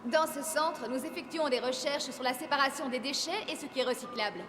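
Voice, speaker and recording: Woman acting a high-voiced, serious cartoon scientist. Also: a little fast, noisy background, close to an everyday voice. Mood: earnest. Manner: earnest, explanatory. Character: serious, principled female scientist.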